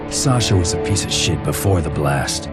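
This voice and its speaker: An actor playing a brooding young antihero in a game, gruff and terse, narrating in a hard-boiled, cynical way. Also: male voice, low-pitched, expressive, moderate pace. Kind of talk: scripted game narration and dialogue. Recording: loud game music behind the voice.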